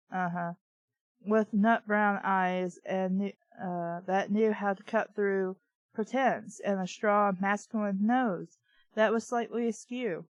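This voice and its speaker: A woman's voice: mid-range voice, dry recording, highs cut off. A woman reading fiction aloud and breaking in with sarcastic, irreverent, exasperated commentary. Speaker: book review host.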